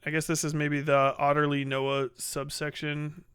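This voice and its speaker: Clear, higher-voiced man doing blunt livestream commentary. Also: monotone, normal speed, fairly bright tone, dry recording, clean studio sound. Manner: blunt, heavy swearing. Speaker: livestream host. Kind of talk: casual livestream reaction commentary.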